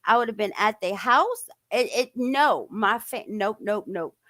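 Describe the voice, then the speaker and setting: Woman stream host, mid-pitched, informal and halting casual chat, very dry sound. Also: animated, fairly bright tone, no room sound. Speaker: host of a true-crime stream.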